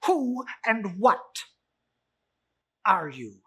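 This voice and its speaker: A man playing a crotchety, husky old miser. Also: high voice, very animated, extremely slow, sharp tone, pitched above the performer's own voice, full character voice. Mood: nervous awe. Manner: stammering, exclamatory. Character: crotchety, frightened old miser, blustering then pleading.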